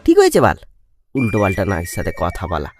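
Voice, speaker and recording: A performer voicing an excitable, jeering young male sidekick in a comedy cartoon, slangy and exclamatory. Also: low voice, fast, over music, full character voice.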